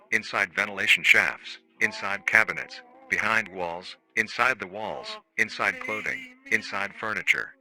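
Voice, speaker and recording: A man playing a formal, matter-of-fact research-facility narrator on an analog horror staff training tape, calmly chilling and euphemistic. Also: low-pitched, full character voice, low-quality recording.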